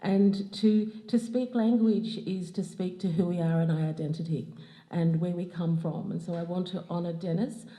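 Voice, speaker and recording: Dark-toned Australian woman in a hall. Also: fairly low pitch, fairly even intonation, moderate pace. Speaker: acknowledgement of country speaker. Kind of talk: formal ceremonial address. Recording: echoey hall.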